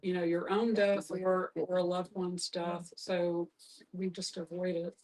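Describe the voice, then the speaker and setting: Even, lowish-voiced woman, distant mic. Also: a little slow. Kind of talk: explanatory group discussion. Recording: distant microphone.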